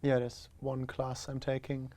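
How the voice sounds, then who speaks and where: A young man from Germany, making relaxed, dry remarks as a student guest. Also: mid-range voice, measured pace. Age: young adult. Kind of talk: casual interview answers.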